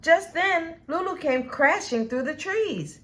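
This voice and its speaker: A woman reading a children's storybook aloud in an expressive, deliberate storytelling manner. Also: very high-pitched. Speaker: storybook reader. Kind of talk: children's read-aloud narration.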